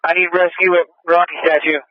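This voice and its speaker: A man's voice: high-pitched, level tone, a little fast, dry recording. A man dispatching on a police radio channel, clipped and repeating locations, with a Philadelphia accent. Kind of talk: police scanner radio traffic. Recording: muffled scanner radio audio.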